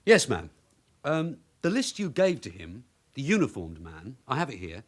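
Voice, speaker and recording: A man's voice: medium pitch, huge pitch swings, normal speed. A seasoned London detective sergeant in a radio crime drama, streetwise and bossy. His London-accented delivery swings up and down, with clipped, commanding phrasing that suits a man used to giving orders. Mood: polite, official. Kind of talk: naturalistic British radio drama acting.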